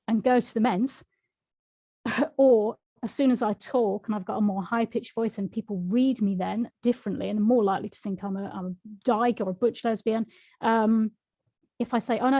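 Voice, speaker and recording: Brief: frank woman author in interview, mellow tone, dry close sound. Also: medium pitch, no room sound. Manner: reflective, frank, laughs often. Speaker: author and guest. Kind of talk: conversational interview answers.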